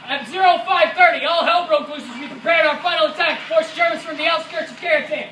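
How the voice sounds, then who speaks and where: A man plays a dutiful American paratrooper telling of combat in a war drama. The delivery is declamatory, with a high, piercing tone. The voice is picked up from far off, with faint noise behind it. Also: fast talker, bright, forceful tone, roomy sound. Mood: urgent, forceful. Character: male American WWII paratrooper, dutiful veteran recounting combat. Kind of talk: rehearsed dramatic war narration.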